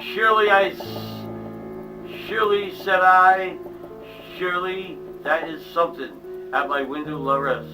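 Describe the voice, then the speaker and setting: A man giving a theatrical, dramatic reading of a poem, dropping to hushed tones and sometimes stumbling and restarting. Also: high voice, fairly even intonation, measured pace. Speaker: reader of a poem. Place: small home museum room. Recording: distant mic with eerie music behind.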